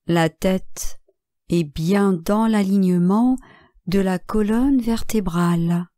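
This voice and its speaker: A woman guiding a relaxation meditation as a yoga nidra instructor, calm and measured, speaking in short phrases with long pauses. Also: mid-range voice, dry recording, studio quality.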